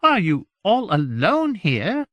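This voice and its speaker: A man voicing a fawning, effusive male gentleman who flatters and exclaims. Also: sits high, normal speed, no room sound, voice pushed up. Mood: gushing.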